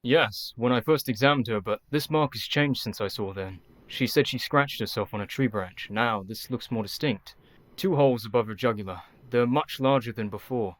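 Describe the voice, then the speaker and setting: An actor playing an earnest, devoted young Victorian doctor in a radio play, speaking formally. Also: male voice, mid-range voice, normal speed, natural voice. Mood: grave.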